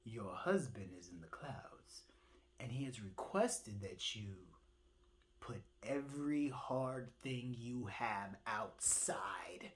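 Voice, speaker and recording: A woman voicing a secretive male bird who speaks in a low, hushed whisper. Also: big pitch swings, takes it slowly, pauses often, full character voice.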